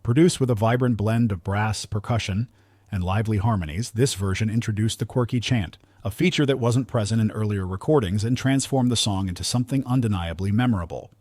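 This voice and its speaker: A man reading scripted countdown narration in a polished, measured storytelling manner, close on the microphone. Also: on the low side, a little mellow, no room sound.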